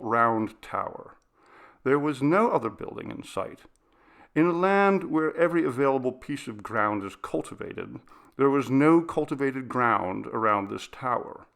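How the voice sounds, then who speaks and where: A man reading a literary ghost story aloud as an audiobook narrator, in a measured, dramatic storytelling manner. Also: medium pitch, vocal fry, a bit bright.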